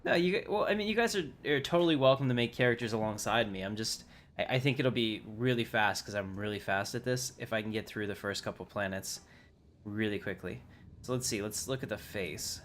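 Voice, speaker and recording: A man's voice: lowish voice, brisk, a bit bright. A man hosting a casual gameplay livestream, thinking aloud informally as he plays and chats to viewers. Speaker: livestreamer, game player and channel host. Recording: faint noise, keyboard typing.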